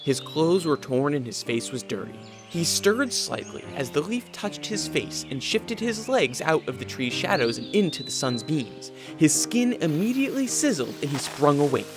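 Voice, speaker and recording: A man's voice: fairly high pitch, very animated, moderate pace, few pauses. A man giving dramatic scripted narration for a radio adventure story. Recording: music behind the voice.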